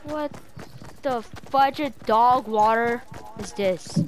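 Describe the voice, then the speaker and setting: A female player chatting in improvised game roleplay, excitable and bossy, on a home gaming mic. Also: fairly high pitch, extremely slow, a little hiss or hum.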